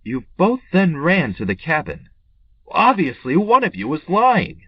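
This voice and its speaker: A man's voice: a little high, wildly swooping pitch, a little slow, breathy edge, no echo, dull audio, exaggerated voice. An actor in an audio drama playing a tense, bossy armed man who takes charge and interrogates people urgently. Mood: stern. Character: tense male amateur investigator with a gun, commanding.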